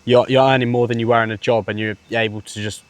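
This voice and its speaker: A man's voice: mid-range voice, little pitch movement, quick pace, no room sound. A man of twenty, talking as an interview guest, confident and easygoing, with plenty of "you know". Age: 20s. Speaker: interview guest, sales closer. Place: villa, indoors.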